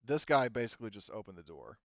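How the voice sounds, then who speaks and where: A man's voice: lowish voice, big pitch swings, quick pace, no echo, dull audio. A man acting as game master, casually refereeing a tabletop game and explaining rules step by step.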